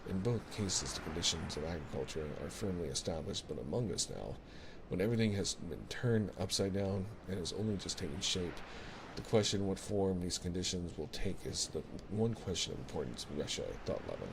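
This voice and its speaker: A man reading a literary bedtime story in a measured, soothing ASMR style, close to a binaural microphone. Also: deep voice, lively intonation. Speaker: audiobook-style reader, ASMR host. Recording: noisy ambience of recorded ocean waves. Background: ocean sounds.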